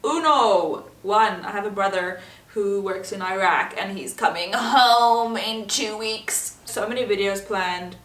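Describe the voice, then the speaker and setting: A woman's voice: medium pitch, measured pace, fairly bright tone. A chatty, giggly woman from South Africa with an accent, speaking Afrikaans as her first language, answering questions about herself. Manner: chatty and giggly, laughing often. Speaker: vlogger. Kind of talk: casual get-to-know-me chat. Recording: roomy echo, voice from a distance.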